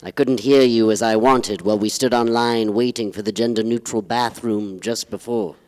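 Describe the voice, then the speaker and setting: An actor playing a pompous, wistful poetry-reading emcee, speaking in a solemn, florid way on a theatre stage. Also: male voice, fairly low pitch, steady tone, normal speed, darkish tone, close to an everyday voice. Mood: pompous, courtly. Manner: pompous, ponderous. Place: theatre stage, live performance. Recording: reverberant live theatre hall.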